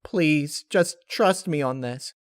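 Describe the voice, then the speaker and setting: A man voicing an excitable female cartoon pony, bubbly and scheming, in an emphatic, eager storybook reading. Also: slow pace, clear, resonant tone, dry recording, clean studio sound. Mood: anxious pleading. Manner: emphatic, eager, high-strung. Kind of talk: dramatic fan-fiction character reading.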